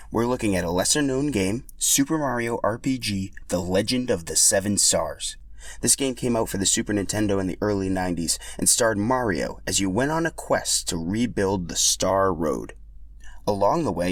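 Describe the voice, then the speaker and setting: Low-voiced man narrating, dry close sound. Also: no room sound. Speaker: podcast host and storyteller. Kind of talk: scripted narration.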